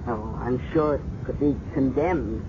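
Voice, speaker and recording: An actress playing a calm, matter-of-fact doctor who explains in a measured way, in a 1970s radio drama. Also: female voice, a bass voice. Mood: calm, thoughtful. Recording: muffled noisy 1970s radio recording.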